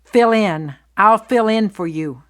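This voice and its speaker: Lady reading vocab phrases for english learners, really slow and clear, mid pitch. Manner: deliberate, clearly enunciated. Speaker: phrase reader. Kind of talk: language-lesson recitation of phrases.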